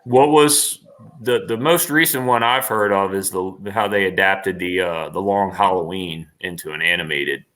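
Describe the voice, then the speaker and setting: A man's voice: low voice, moderate pace, a bit bright. A man talking casually as an interview guest, rambling and enthusiastic in a slangy way.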